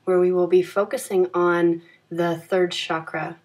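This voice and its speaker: A woman leading a guided yoga nidra meditation in a calm, gentle, soothing manner with unhurried phrasing. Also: on the low side.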